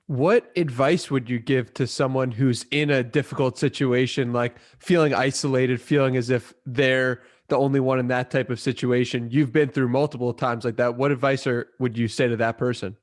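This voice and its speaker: Male podcast host, attentive and encouraging, measured interview questions. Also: medium pitch, steady tone. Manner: attentive, encouraging, short affirmations.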